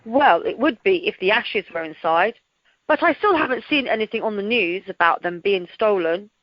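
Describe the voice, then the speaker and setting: A woman in an amateur radio play, playing a young holidaymaker who is friendly and gently teasing. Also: mid-range voice, expressive, moderate pace, no room sound, lightly acted. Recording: dull telephone conference line.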